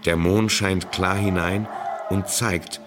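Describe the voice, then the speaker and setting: An actor playing a dreamy, eager-to-please nephew who tells of his childhood in measured, literary radio drama narration. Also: deep voice, fairly even intonation, music in the background.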